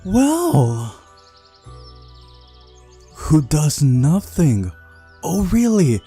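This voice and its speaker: A man hosting a game playthrough, reading visual novel narration aloud in a mellow voice. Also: fairly high pitch, huge pitch swings, drawn-out words, husky, faint music behind. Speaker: gaming video host.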